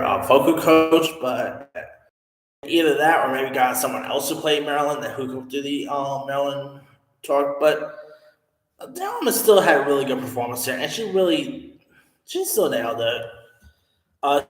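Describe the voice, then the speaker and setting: A man giving a casual, rambling film review, full of fillers and restarts. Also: on the high side. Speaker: movie reviewer. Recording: echoey room with long reverb.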